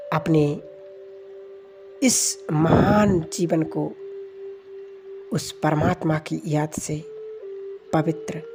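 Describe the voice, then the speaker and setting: Slow, low-pitched woman guiding meditation. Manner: calm, unhurried, soft with long pauses. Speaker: meditation guide. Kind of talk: slow guided meditation commentary. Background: background music.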